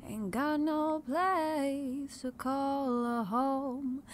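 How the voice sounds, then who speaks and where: A woman's voice: high voice, drawn-out words, faint music behind. A woman playing a young woman who sings a mournful western song. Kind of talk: slow singing.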